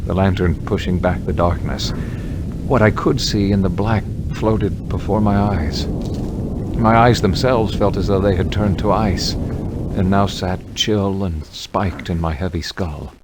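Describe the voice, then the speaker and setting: Low, brooding gothic horror narrator. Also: male voice, background noise. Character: haunted, morbid man recounting a burial in gothic horror. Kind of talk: dramatic first-person horror narration.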